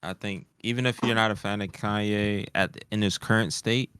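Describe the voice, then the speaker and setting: Low, smooth-voiced man. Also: steady tone, fairly quick, a bit bright, no echo. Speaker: podcast co-host. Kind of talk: casual chat.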